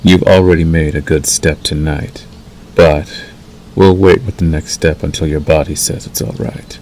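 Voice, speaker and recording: A man voicing a possessive werewolf lover in intimate ASMR roleplay, menacing yet tender, coaxing slowly and soothingly. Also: a bass voice, fairly even intonation. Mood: teasing, possessive. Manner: slow, soothing, coaxing with dark undertone.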